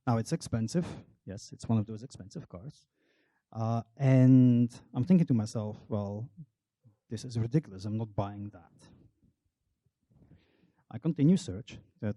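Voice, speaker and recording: A man's voice: medium pitch, moderate pace, warm tone, no echo. A middle-aged Belgian man giving a public talk, telling a wry, self-deprecating personal story. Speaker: marketing researcher on a panel.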